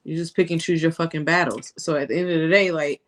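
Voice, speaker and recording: A woman with a notably deep voice co-hosts a reaction show. She gives her opinions bluntly in loose, slangy casual chat. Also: fairly even intonation, fast talker.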